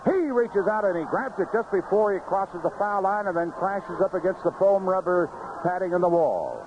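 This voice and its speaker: A man's voice: sits high, lively intonation, moderate pace, a little mellow, lo-fi sound, a little hiss or hum. A man calling baseball play-by-play on 1970s radio in clipped phrases with vivid descriptive calls, on a band-limited old broadcast. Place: ballpark broadcast booth.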